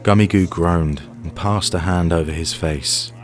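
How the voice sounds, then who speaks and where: A man's voice: sits low, steady tone, unhurried, soft background music. A man reading scripted story narration in the third person for an audio drama.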